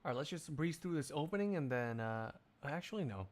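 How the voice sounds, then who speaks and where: A man's voice: on the high side, expressive, brisk. A man live streaming, chatting casually and hesitantly as he thinks aloud. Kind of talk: casual chat.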